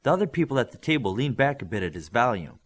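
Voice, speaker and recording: Man reading an audiobook with lively pitch. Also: fast talker. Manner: steady, expressive reading. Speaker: audiobook reader. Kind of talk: scripted audiobook narration.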